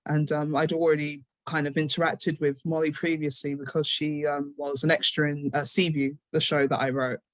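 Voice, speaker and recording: A woman's voice: sits low, flat delivery, moderate pace, hardly any pauses, dry recording, poor audio. British woman, close dry recording. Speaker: interview guest, scriptwriter. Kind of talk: casual conversational interview.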